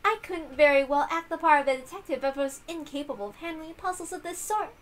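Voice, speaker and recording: A woman's voice: high-pitched, fast, pitched above the performer's own voice, lightly acted. A woman voicing a smug, polite young lady detective who speaks formally and with condescension. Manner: self-assured, formal, condescending.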